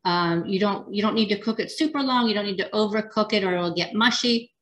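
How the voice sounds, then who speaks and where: A woman's voice: medium pitch, a little fast, a bit bright. A woman teaching a casual cooking demonstration, chatty and rambling with frequent ums and restarts. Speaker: dietitian cooking instructor.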